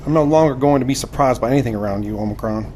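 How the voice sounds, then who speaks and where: Earnest young male recruit man acting, nineteenth-century audio drama. Medium pitch, formal and courteous, faint background noise. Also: very fast, a little mellow, close to an everyday voice. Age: 20s. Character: earnest, polite young gentleman recruit in 1871.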